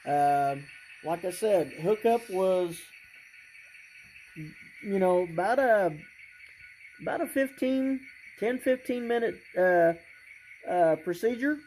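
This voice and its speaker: A hobbyist presenter giving a casual, unscripted demonstration in a halting, rambling way, often clearing the throat. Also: mid-range voice, expressive, darkish tone, soft background music, low-quality recording. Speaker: hobbyist presenter showing a model train layout.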